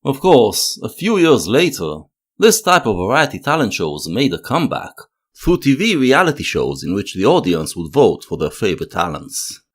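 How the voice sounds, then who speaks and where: A man narrating a scripted analytical video essay in a measured, expressive way. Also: fairly low pitch.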